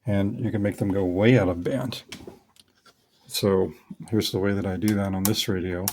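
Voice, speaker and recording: Low-voiced man explaining his hobby. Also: steady tone, moderate pace, darkish tone, slight background noise. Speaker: radio hobbyist presenter. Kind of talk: casual explanatory demonstration.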